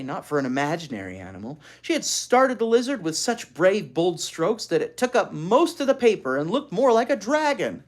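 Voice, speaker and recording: An expressive storyteller reading a children's book aloud, pausing to explain things to young listeners. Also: mid-range voice. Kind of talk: animated read-aloud with asides to child listeners.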